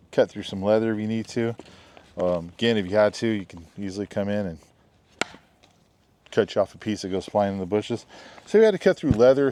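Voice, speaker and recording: A man's voice: on the low side, warm tone. A middle-aged man with a head cold, chatting casually outdoors as he demos a knife and jokes along the way. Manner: chatty, jokey, says "guys" and "bam" a lot. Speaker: knife reviewer. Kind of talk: casual product demo. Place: backyard, outdoors.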